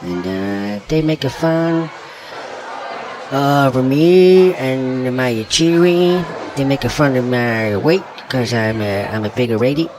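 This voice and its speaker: A man playing a brash middle-aged woman politician who rambles in broken, halting English in a mock interview sketch. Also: medium pitch, background noise, close to an everyday voice. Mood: aggrieved.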